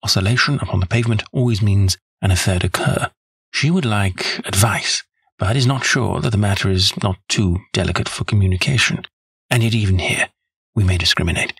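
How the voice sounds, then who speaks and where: A man's voice: on the low side, a little fast, fairly bright tone, husky, no echo, heavily acted. A man voicing a shrewd, composed detective in an audiobook, speaking precisely and with assurance. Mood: assured, analytical. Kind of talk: audiobook character voice.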